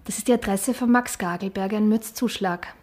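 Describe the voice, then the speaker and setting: An actress playing a gentle, probing woman with a conversational delivery in a German radio drama. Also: medium pitch, a little fast, natural voice.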